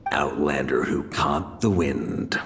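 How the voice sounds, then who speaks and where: An actor playing a rugged veteran knight in a video game, bold, wry and unflappable. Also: male voice, a bass voice, slow, creaky, a bit bright, a little hiss or hum, exaggerated voice. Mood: wry, resolute. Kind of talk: dramatic video game voice acting. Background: music.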